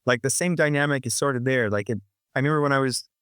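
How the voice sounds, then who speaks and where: A man's voice: medium pitch, quick pace, fairly bright tone, clean tone, no echo, clean studio sound. A man in his sixties, a musician guest talking reflectively in an interview, rambling with restarts and "you know, like". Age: 60s.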